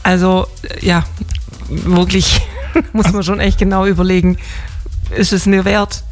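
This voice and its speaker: Relaxed woman co-host with dry teasing. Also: lowish voice, measured pace, faint music behind. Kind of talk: casual radio chat.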